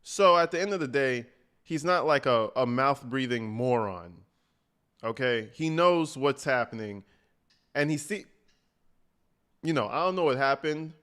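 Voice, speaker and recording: A man's voice: medium pitch, expressive, a little slow, long pauses, a bit bright, clean tone. Blunt, sweary man giving casual commentary. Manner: blunt, profane, conversational. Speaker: host of a reaction livestream.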